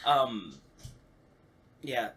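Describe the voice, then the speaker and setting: A man's voice: medium pitch, big pitch swings, drawn-out words, fairly bright tone, husky. A man, a voice actor, chatting casually on a talkback podcast and acting out bits of dialogue as he tells stories. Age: adult. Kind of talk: casual chat.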